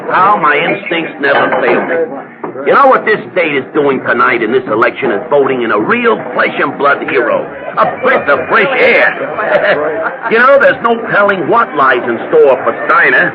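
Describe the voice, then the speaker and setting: An actor playing a jubilant, scheming political boss, boastful and effusive in a radio drama. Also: male voice, fairly high pitch, sing-song, measured pace, over a noisy crowd. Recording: muffled radio recording with music behind.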